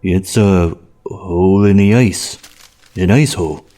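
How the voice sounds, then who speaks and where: Male actor as audio-drama cop: low, dark-toned, deadpan, politely evasive. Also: slow, soft background music. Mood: guarded, deadpan. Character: male police officer, polite, evasive, matter-of-fact.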